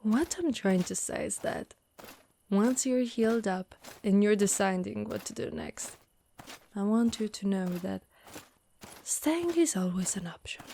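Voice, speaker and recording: A woman voicing a gentle, protective undead warrior woman in an ASMR monster roleplay, speaking softly and reassuringly close to the mic. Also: mid-range voice, gravelly, a little hiss or hum. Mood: shy, tender. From Italy. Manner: soft, caring, reassuring.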